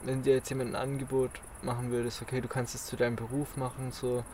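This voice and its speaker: A man's voice: medium pitch, completely flat delivery, fast, warm tone, slight background noise. A man in casual podcast chat, speaking hesitantly with frequent restarts. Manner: hesitant, many restarts and fillers. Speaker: podcast co-host.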